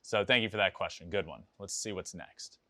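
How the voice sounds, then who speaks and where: A man's voice: deep voice, brisk, pushed and bright, no room sound, studio quality. A young American man hosting a podcast, casually answering listeners' baseball questions in a conversational way, repeating phrases for emphasis. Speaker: podcast host and former professional baseball player. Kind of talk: casual sports talk answering listener voicemails.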